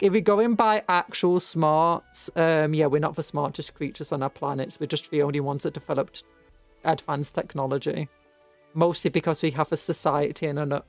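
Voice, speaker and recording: A British VTuber streamer chatting casually to viewers in a rambling, musing way, often stopping to correct themselves. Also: mid-range voice. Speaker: VTuber livestreamer. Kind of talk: casual livestream chat with viewers. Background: background music.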